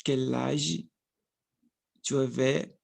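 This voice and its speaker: Brazilian guy late 30s practicing his second language, slow and flat, lots of restarts, dry close sound. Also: medium pitch, vocal fry, dry recording. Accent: Brazilian Portuguese. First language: Portuguese. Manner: hesitant, searching for words, frequent restarts. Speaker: language learner vlogger. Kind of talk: casual practice monologue.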